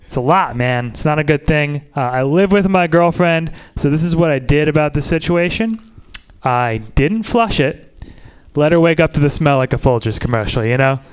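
A man's voice: on the high side, expressive, normal speed, smooth. A man doing a loose, crude stand-up set at an open mic. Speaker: stand-up comedian.

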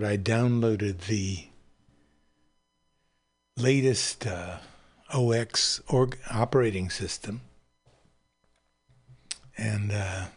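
A man hosting a radio show, apologizing and talking haltingly with many fillers. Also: fairly low pitch, breathy edge. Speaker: radio host.

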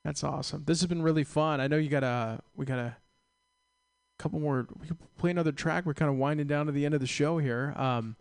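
A man's voice: a little high, a little fast, gravelly, clean tone. A man hosting a radio show, chatting casually in a rambling, easygoing way with lots of fillers. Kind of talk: casual chat. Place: radio studio.

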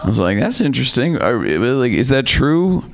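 A man's voice: medium pitch, very animated, normal speed. Young man doing laid-back stand-up. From Seattle, USA. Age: young adult. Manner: laid-back, sardonic, trailing filler words. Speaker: stand-up comedian. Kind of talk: stand-up comedy set. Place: comedy club stage, live audience.

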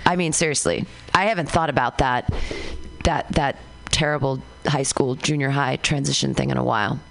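A woman hosting a casual radio chat, telling stories animatedly and jumping into high voices when she quotes people. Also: steady tone, moderate pace, vocal fry, fairly bright tone. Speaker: radio show host.

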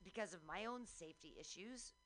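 A woman's voice: on the low side, lively intonation, fairly quick, fairly bright tone, rough recording. Sardonic woman radio co-host. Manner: blunt, sardonic, self-mocking. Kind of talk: crude comedic banter. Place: radio studio.

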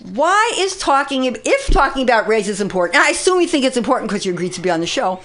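A woman's voice: a little high, big pitch swings, fast, fairly bright tone. A woman in her sixties hosting a radio talk show, asking direct, easygoing questions. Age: 60s. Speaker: host. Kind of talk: casual radio interview.

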